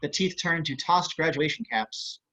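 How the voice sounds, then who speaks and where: A man's voice: a little high, normal speed, bright, forceful tone. A man reading stage and screen directions aloud as scripted narration. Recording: muffled online call audio.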